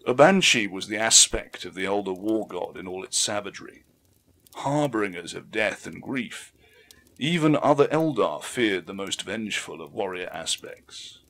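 A man narrating an audiobook story in a measured, theatrical way, with emphatic swells. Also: lowish voice, fairly bright tone. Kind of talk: dramatic scripted story narration.

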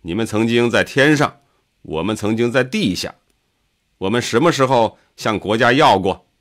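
A man's voice: medium pitch, expressive, moderate pace, a bit bright, close to an everyday voice. A man voicing an indignant, plain-spoken farmer in an audiobook, scolding with rhetorical questions. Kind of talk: audiobook character voice.

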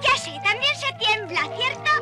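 An innocent little cartoon bee girl, full of frank questions. Her voice is tiny and very high, with a cheeky childlike air, and loud music plays along behind her. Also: expressive, moderate pace. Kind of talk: dubbed children's cartoon acting.

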